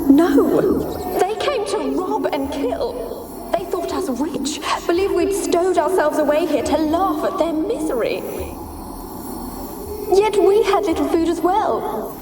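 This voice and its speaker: A woman's voice: very high voice, animated, slow, full character voice. An actress playing a lonely, fearful young noblewoman's wraith in a video game, with plaintive, archaic, dramatic phrasing. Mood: fearful grief. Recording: echoing, distant voice under music.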